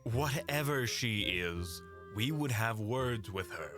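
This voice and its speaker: A man's voice: on the low side, animated, takes it slowly, over music. A man playing a stern, blunt adventurer in an audio roleplay. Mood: stern, impatient.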